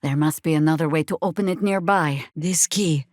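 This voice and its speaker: A woman playing a stern, wry older female druid warrior in a video game, speaking in a dry, measured, sardonic way. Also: low-pitched, a little mellow, no room sound, lightly acted. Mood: dry, commanding. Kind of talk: scripted video game character lines.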